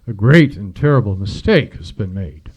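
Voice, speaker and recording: A man playing a stiff, chastened old businessman, speaking formally and haltingly in an old-time radio drama. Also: fairly low pitch, expressive, darkish tone, husky. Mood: awkward, contrite.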